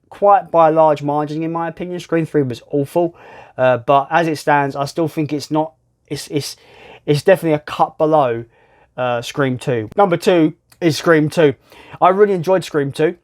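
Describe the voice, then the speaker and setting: A man with a slightly high-pitched voice hosts a casual film ranking. He talks bluntly and swears freely. He often repeats words and phrases to drive a point home. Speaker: film reviewer, video host.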